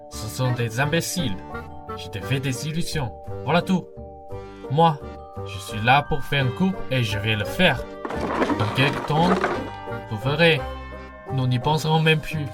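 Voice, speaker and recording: A young woman playing a gruff but soft-hearted male thief, condescending and easily flustered, in a comic radio play. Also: extremely low pitch. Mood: cold, menacing, then regretful, tender. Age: young adult. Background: music.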